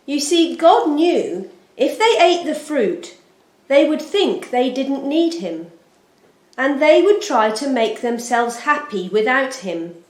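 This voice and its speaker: A woman storyteller giving an expressive, solemn and deliberate narration of a scripted children's Bible story. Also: high voice. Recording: roomy, echoing sound.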